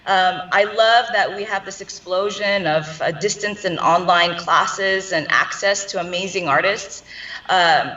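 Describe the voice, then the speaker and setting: A woman from Afghanistan who grew up in the United States answers interview questions over a video call, in a thoughtful and hesitant way. Her voice is bright, but it sounds distant and dull through the call audio. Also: mid-range voice. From Afghanistan, raised in New York and California. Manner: thoughtful, hesitant, many ums. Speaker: dancer and interview guest.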